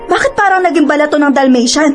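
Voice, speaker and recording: A harsh, middle-aged aunt from a radio drama, scolding at a very high pitch. She nags with exasperated questions over faint music. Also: lively intonation, fast. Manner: sharp, exasperated nagging with rhetorical questions. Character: strict, scolding aunt who punishes her niece.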